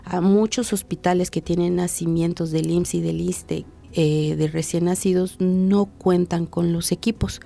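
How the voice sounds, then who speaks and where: A woman physician with a Mexican Spanish accent, explaining patiently and didactically in an interview, slowing down to stress key points. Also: lowish voice, fairly even intonation, creaky, warm tone. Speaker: physician, hearing and speech specialist.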